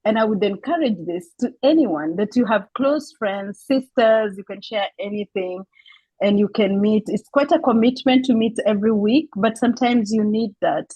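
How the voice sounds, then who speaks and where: A woman's voice: mid-range voice, normal speed, warm tone, husky, dry recording, highs cut off. A woman hosting a casual faith-based group chat, introducing friends and encouraging listeners. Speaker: host of a women's group talk.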